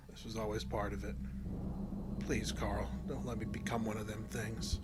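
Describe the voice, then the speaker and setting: An actor playing a tormented but resolute fishing guide with a folksy, plain-spoken manner in a horror audio drama. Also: male voice, fairly low pitch, level tone, normal speed, heavy background noise, close to an everyday voice. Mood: desperate.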